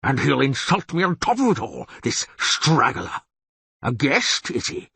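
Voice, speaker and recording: Big grumpy bear monster for a storybook, super animated, rough raspy voice, blustery. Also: male voice, medium pitch, a little slow, no echo, pitched above the performer's own voice, heavily acted. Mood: indignant. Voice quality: raspy, roaring. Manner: blustering, indignant, theatrical. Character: huge gruff bear-headed humanoid monster, blustering and irascible.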